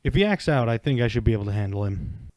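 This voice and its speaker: A man playing a calm, assured senior investigator with a composed, authoritative manner in an anime fan fiction audio drama. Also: mid-range voice, fast talker, dry recording, natural voice. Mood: calm, commanding.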